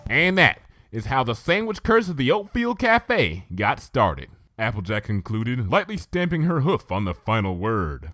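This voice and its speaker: A man's voice: medium pitch, big pitch swings, a little slow, hardly any pauses, fairly bright tone, faint music behind. A man reading scripted story narration for a fan fiction.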